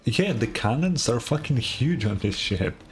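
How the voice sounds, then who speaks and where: Slow-talking casual male game streamer. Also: medium pitch, big pitch swings, faint music behind. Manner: laid-back, sweary, short muttered reactions. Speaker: streamer reacting to a game story. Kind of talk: casual reaction commentary.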